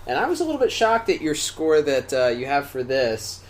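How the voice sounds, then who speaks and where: A man's voice: a little high, huge pitch swings, moderate pace. A man co-hosting a review podcast in a casual, conversational way with joking asides. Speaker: podcast co-host reviewing animated series.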